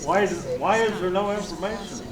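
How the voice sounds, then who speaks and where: A man in the audience presses the board with insistent, repeated questions. He is picked up from far off in an echoey room with loud noise around him. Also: high voice, expressive, fairly quick. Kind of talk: heated meeting exchange. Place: condominium meeting room, from the audience. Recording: distant mic in an echoey, noisy room.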